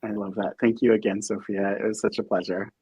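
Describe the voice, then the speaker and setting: A man hosting and moderating an online career fair in a casual, upbeat, somewhat halting way. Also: fairly low pitch, level tone, a little mellow, husky, no echo, poor audio. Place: remote virtual event stream.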